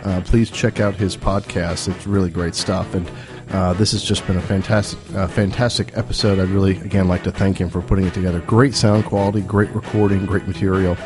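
A man's voice: deep voice, little pitch movement, fairly quick. A man hosting a podcast, casually signing off while losing his voice to a cold, rambling with many fillers. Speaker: podcast host. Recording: music playing underneath.

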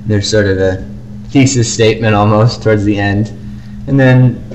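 A man co-hosting a podcast, giving casual, measured reviews in a conversational, hedging way. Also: lowish voice, steady tone, a little mellow, slight background noise, low-quality recording. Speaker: podcast co-host.

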